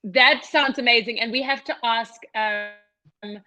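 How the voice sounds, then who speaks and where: A woman's voice: fairly high pitch, steady tone, slow pace, bright, forceful tone. A woman co-hosting a casual video-call interview, gushing and enthusiastic. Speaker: podcast co-host.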